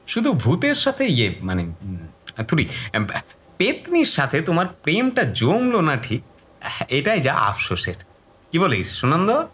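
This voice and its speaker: A mocking young wisecracker in an audio horror drama. His voice is rather high and leaps wildly up and down, sly and teasing, with halting little hesitations. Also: huge pitch swings, fairly bright tone, slight background noise, exaggerated voice. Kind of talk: audio horror story acting.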